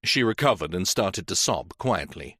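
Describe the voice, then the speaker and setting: A man's voice: low voice, expressive, moderate pace, pushed and bright, no room sound. A man reading a mystery audiobook as narrator, telling the story expressively. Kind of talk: scripted narration.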